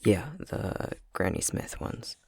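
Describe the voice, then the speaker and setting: A young man playing a clumsy, self-conscious fox-shifter boyfriend in an intimate ASMR roleplay, speaking hesitantly with a soft, breathy, gravelly voice. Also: slow, no room sound, close to an everyday voice. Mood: sheepish, teasing. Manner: hesitant, stammering, self-deprecating.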